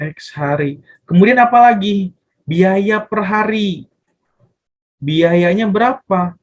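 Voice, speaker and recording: A man explaining math step by step as a teacher, often asking questions and answering them himself and repeating phrases. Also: fairly high pitch. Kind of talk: casual step-by-step tutorial explanation.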